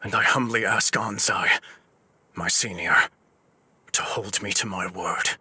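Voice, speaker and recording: A man's voice: fairly low pitch, steady tone, pushed and bright, slightly rough, no room sound, full character voice. A man playing a remorseful middle-aged sword master and teacher in a video game, speaking formally and earnestly. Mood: humbled resolve. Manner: earnest, formal, halting when distressed. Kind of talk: dramatic video game acting.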